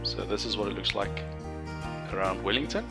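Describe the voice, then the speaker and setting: South african guy, afrikaans first language, kinda low voice, ums a lot while showing off his game map, music playing under him. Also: normal speed, gravelly. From South Africa, Cape Town. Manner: hesitant, many ums and restarts, easygoing. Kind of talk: casual screen-share walkthrough. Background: background music.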